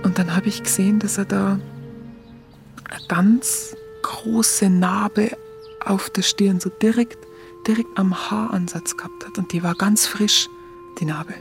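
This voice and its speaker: Woman acting young Munich woman, Bavarian accent, earnest, colloquial, halting confession, radio drama, music behind. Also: medium pitch, steady tone, lightly acted. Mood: tender, moved.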